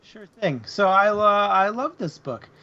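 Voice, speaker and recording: A man's voice: high voice, lively intonation, measured pace, bright, forceful tone, highs cut off. A man co-hosting a book club show, giving his opinions in casual, unscripted chat.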